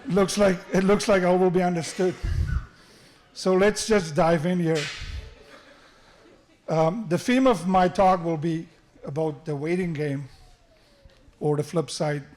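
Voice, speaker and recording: A man's voice: sits high, fairly even intonation, unhurried, long pauses, darkish tone. A middle-aged man with a South African accent giving a joking father-of-the-groom wedding speech, with frequent restarts, into a microphone at a reception. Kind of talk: wedding toast. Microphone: handheld microphone through the sound system.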